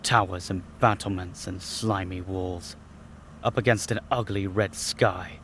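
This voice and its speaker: A man's voice: sits low, measured pace. An actor playing a restless, outspoken young man who is uneasy, in a radio mystery drama. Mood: bitter, brooding. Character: restless young heir, an aircraft designer, uneasy yet outspoken. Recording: steady noise behind, vintage radio recreation.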